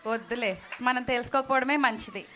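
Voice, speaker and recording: Woman as a high-voiced, sensible young puppet friend. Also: a little fast, pushed and bright, a little hiss or hum. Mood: questioning. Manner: childlike, patiently teaching, questioning. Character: Brownie, sensible, gentle, questioning child puppet friend. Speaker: puppeteer in children's church show. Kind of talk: children's puppet show dialogue.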